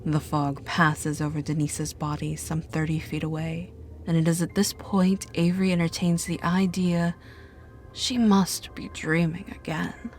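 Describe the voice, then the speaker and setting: A woman narrating a scripted horror story with a measured, dramatic delivery. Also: low-pitched, little pitch movement. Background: music.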